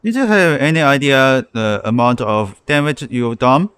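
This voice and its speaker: A man's voice: mid-range voice, animated, normal speed, fairly bright tone, smooth. A man giving live reaction commentary as a game streamer.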